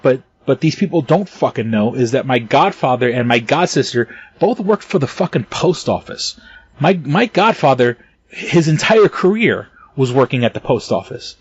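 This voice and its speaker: A man's voice: mid-range voice, animated, normal speed, slightly rough, dry recording, highs cut off. A man co-hosting a casual podcast, talking in a heated, profane way with stumbling restarts and bursts of laughter. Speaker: podcast co-host.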